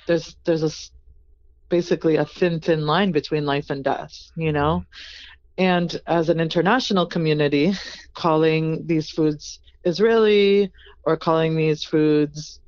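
An earnest chef talks through a long interview answer with thoughtful pauses and fillers. The voice is smooth and clear. The recording sounds a little dull and lo-fi. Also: mid-range voice. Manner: earnest, thoughtful, frequent "you know" fillers.

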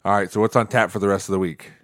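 A middle-aged man hosting a podcast, chatting casually with his young daughter and gently teasing her with coaxing questions. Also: lowish voice, steady tone, rapid-fire, no room sound, studio quality. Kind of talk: casual family chat.

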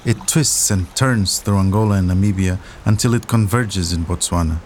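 A man narrating a scripted documentary podcast, telling the story dramatically with deliberate pauses. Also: low-pitched, a little mellow, a little hiss or hum.

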